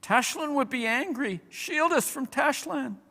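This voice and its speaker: A man as whimpering, high-voiced frightened beasts. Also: expressive, measured pace, creaky, bright, forceful tone, exaggerated voice. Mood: terrified. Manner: whimpering, wailing. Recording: long hall-like reverb.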